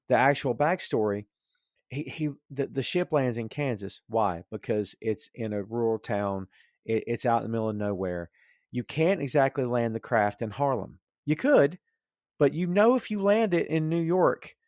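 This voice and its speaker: A man's voice: mid-range voice, no room sound, studio quality. A man in a casual, opinionated podcast discussion, rambling and thinking aloud as he goes. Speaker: podcast host discussing comics and film.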